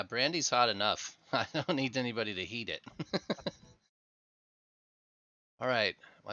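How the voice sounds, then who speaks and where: A man with a croaky voice hosting a casual live game stream, chatting and laughing easily as he builds. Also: medium pitch. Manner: relaxed, laughing easily while narrating his building. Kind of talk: casual live gameplay chat. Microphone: headset microphone. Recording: dull headset mic sound.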